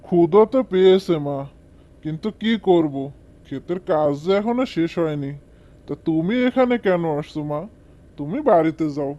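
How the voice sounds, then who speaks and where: A man's voice: on the high side, lively intonation, normal speed, dark tone, muffled recording, close to an everyday voice. A man voicing a hardworking, dutiful farmer in a cartoon, speaking in an earnest, respectful way. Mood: earnest, gentle. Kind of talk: amateur cartoon voice acting.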